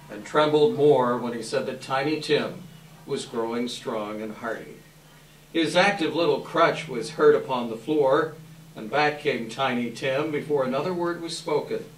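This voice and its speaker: A man giving an expressive live dramatic reading of a classic story as narrator, with measured phrasing. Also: mid-range voice, a little hiss or hum. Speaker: narrator, readers theater volunteer. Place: live event room. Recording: echoey room, distant microphone.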